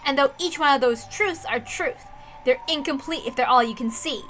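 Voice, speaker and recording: A woman voicing a proud, intense young female detective with theatrical, deliberate delivery in a visual novel reading. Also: high voice, fairly bright tone, a little hiss or hum. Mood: moved, reverent.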